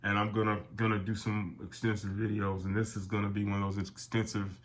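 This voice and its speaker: Older male collector-host, low-ish nasal voice with a cold, loose rambling delivery. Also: flat delivery, fairly quick. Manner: rambling, with many fillers and restarts, calls viewers "guys". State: has a summer cold. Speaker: airgun collector and channel host. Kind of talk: casual product talk to camera.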